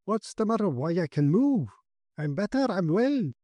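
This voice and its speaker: A man's voice: high voice, big pitch swings, normal speed, mellow, no room sound, pitched above the performer's own voice. A man voicing an astonished, emotional father in a dramatised story, speaking in exclamations. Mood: amazed, joyful. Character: astonished father, a paralysed man suddenly cured. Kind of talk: dramatised audiobook character.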